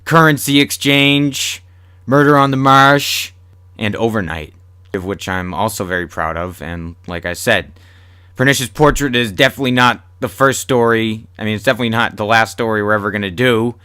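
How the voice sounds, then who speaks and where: A man's voice: on the low side, normal speed, bright, forceful tone, clean tone, no echo, studio quality. A man hosting a horror podcast, chatting casually to listeners in a rambling, repetitive, sweary way. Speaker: horror podcast host and writer. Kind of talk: casual solo podcast chat.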